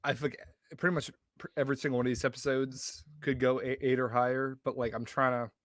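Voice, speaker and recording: A male podcast co-host with a medium-pitched voice in a dry recording. He speaks conversationally and in halting phrases, often restarting to correct himself. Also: flat delivery, no echo. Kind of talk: casual chat.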